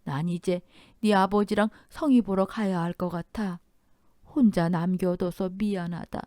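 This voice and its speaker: Woman acting a frail old mother-in-law, slow and wistful. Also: lowish voice, fairly even intonation, a little mellow, no echo, clean studio sound, lightly acted. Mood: tender farewell. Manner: slow, drawn-out, sentimental reminiscing.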